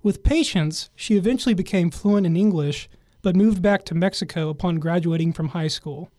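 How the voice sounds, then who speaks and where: A man reading a scripted news feature as the reporter, in a measured way. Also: high voice, dark tone, no echo, studio quality.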